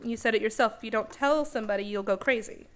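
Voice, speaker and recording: A woman playing a bold, curious young lady in a radio play, brisk, arch and dryly teasing. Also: fairly high pitch, lightly acted. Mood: brisk, insistent. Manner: brisk, arch, self-possessed.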